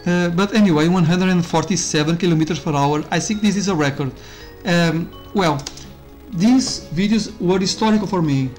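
A man's voice: high-pitched, normal speed, darkish tone. Casting note: man, chatty game commentary, loose and rambling, with faint game music underneath. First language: Portuguese. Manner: rambling, self-interrupting, with frequent fillers and laughs. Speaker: YouTuber reviewing a game. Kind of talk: casual gameplay commentary.

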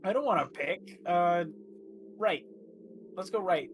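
A man's voice: high voice, lively intonation, takes it slowly, noisy background. A man playing a tabletop roleplaying game, chatting casually and thinking aloud hesitantly. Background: music.

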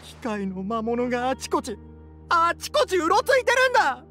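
A jittery young village man, high-voiced and swinging wildly in pitch. He is stammering, fearful and suspicious, acted in anime game style over music. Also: wildly swooping pitch, moderate pace, a bit bright, exaggerated voice. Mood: accusing, panicked. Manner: stammering, agitated. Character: panicky young male villager, frightened and suspicious. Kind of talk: Japanese anime game voice acting.

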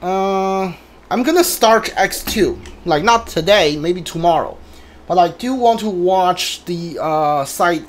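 A video game streamer giving casual, hesitant commentary while thinking aloud. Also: medium pitch.

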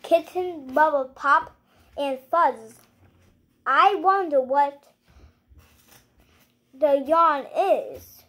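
A child with a high voice reads a picture book aloud at a slow, halting pace. The delivery is playful, with exclamations and little asides to viewers, like a young host presenting at home. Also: sharp tone. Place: living room at home, indoors.

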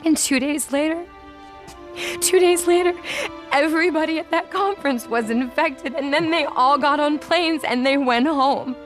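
An actress with an American accent playing an earnest, guilt-ridden scientist who survived an outbreak, speaking haltingly in a video game drama. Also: female voice, high voice, natural voice. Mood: somber, grieving. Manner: halting, repeats phrases as she confesses. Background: music behind the voice.

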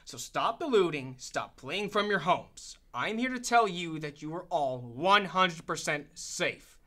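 A man's voice: on the high side, animated, measured pace, pushed and bright. A man playing a confident, blustering American military commander in a radio drama, emphatic and assured. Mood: defiant, reassuring.

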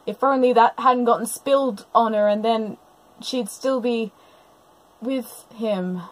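Woman, reaction commentator, high voice, unhurried, gushing, lively intonation, music behind. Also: poor audio. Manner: gushing, exclaims and narrates what is happening. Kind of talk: live, unscripted reaction commentary.

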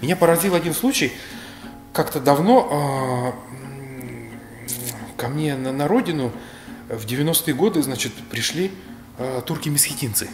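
A man's voice: medium pitch, animated. Man from Kalmykia lecturing in an echoey room. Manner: measured, lecturing, gesturing with his hands. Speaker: speaker on history. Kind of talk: reflective explanatory talk. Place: bright indoor room. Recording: roomy echo, faint music.

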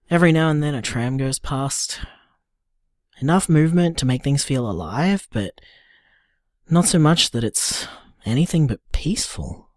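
A tender, upbeat young man in an audio drama. His mid-pitched voice moves expressively through soft, affectionate musing. The sound is dry and intimate. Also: no room sound.

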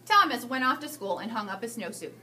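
A woman in her thirties reading a picture book aloud to children, with expressive, drawn-out emphasis. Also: on the high side, a little hiss or hum. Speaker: school staff storyteller reading to children. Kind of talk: animated children's read-aloud.

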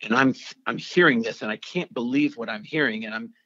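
An earnest man tells an emotional personal story in a medium voice, often restarting and repeating words. The recording is close and dry, with muffled, dull highs. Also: a little fast, pushed and bright, dry recording. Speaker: storyteller guest. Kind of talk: personal testimony interview.

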